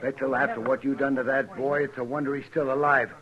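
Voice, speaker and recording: A man's voice: mid-range voice, level tone, fast talker, a crowd in the background, close to an everyday voice. A man playing a stubborn, worried rancher with a folksy rural Western American accent in a radio drama. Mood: angry. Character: rough, plain-spoken rancher, worried and stubborn. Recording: dull vintage radio recording.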